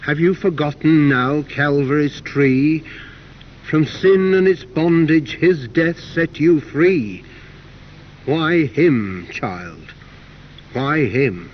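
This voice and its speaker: Man as slow, solemn, forgiving God. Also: a little high. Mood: grave reproach. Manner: solemn, weighty, questioning. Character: God answering a grieving believer, stern, sorrowful, forgiving. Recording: dull hissy old cassette recording.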